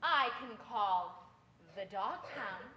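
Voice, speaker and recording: A woman voicing a sly, scheming female cat who taunts in a drawn-out sing-song way in a children's musical story. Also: medium pitch, animated, bright, forceful tone. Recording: roomy echo with faint music.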